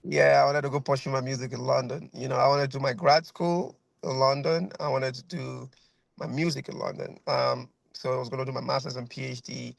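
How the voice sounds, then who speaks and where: A man's voice: mid-range voice, flat delivery, brisk, gravelly, no room sound, poor audio. A man in his thirties with a Nigerian accent, a guest violinist chatting over a video call, rambling with lots of fillers and repeated phrases. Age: 30s.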